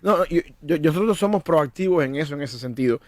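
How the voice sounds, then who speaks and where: A Cuban-born pastor speaking in an earnest, preacherly way, often doubling words as he starts a thought. His voice sits a little high for a man. The sound is dry and close, with no room echo. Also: expressive, brisk. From Cuba. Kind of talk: conversational discussion.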